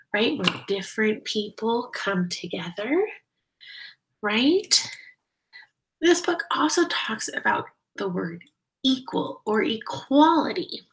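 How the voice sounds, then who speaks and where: A woman kindergarten teacher giving a read-aloud lesson, explaining things simply and guiding young children with questions, with deliberate, emphatic phrasing. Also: medium pitch, gravelly, a bit bright, low-quality recording.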